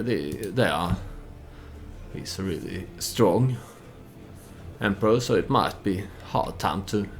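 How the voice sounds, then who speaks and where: A man giving unscripted, storytelling commentary on a game he plays, halting as he thinks aloud and speaks for his character. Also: on the low side. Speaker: let's play host.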